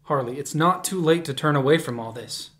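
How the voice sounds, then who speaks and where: A man's voice: a little high, fairly quick, fairly bright tone, lightly acted. A man acting an earnest, wary young hero in a fan audio drama.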